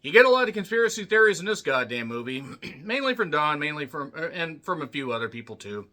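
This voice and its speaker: A man with a slightly rough, worn voice delivers a film review in an unscripted monologue. He talks bluntly and forcefully, swears freely and keeps clearing his throat. His tone is sharp and bright. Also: fairly high pitch, very animated, quick pace. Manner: blunt, profane ranting with frequent throat clearing. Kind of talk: casual spoken movie review.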